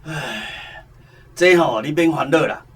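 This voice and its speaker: An actor with a Taiwanese accent playing a smug, callous, cocky gangster in a radio drama, in a rough husky voice. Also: male voice, on the high side, slow pace, heavily acted. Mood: smug, offhand. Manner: cocky, offhand. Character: smug, callous male gangster gloating over ransom money.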